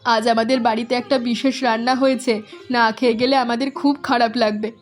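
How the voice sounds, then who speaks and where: A woman's voice: fairly high pitch, little pitch movement, fairly quick, faint music behind, lightly acted. A woman doing a hospitable village mother. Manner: welcoming, earnest. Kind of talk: cartoon voice acting.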